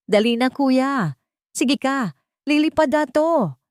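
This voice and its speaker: Woman voicing a high-pitched playful little girl. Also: lively intonation, takes it slowly, darkish tone, no echo, clean studio sound. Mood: playful, pleading. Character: playful, pleading little girl, younger sister.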